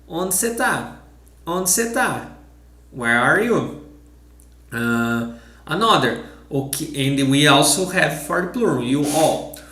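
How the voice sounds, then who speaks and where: A man from southern Brazil with a Brazilian Portuguese accent, teaching with examples and repeating phrases slowly. Portuguese is his first language. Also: fairly high pitch, a bit bright, echoey room.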